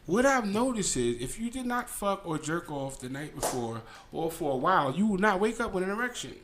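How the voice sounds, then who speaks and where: A man's voice: high-pitched, big pitch swings, fairly quick, background noise. A young man co-hosting a podcast, talking bluntly and animatedly in casual slang. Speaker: podcast co-host. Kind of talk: casual chat.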